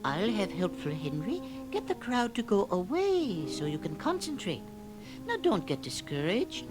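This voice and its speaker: A man voicing a gentle, hopeful female friend on a children's storybook record, soothing and reassuring. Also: high voice, wildly swooping pitch, unhurried, pitched above the performer's own voice, heavily acted. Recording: vintage record with music behind.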